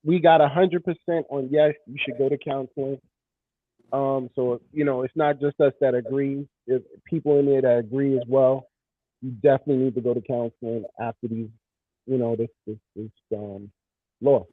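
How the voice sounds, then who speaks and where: A man's voice: medium pitch, steady tone, dry recording. Male podcast co-host, hesitant and full of restarts; phone-quality audio. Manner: halting, many ums and restarts. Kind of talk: casual podcast chat. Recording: tinny phone-like line.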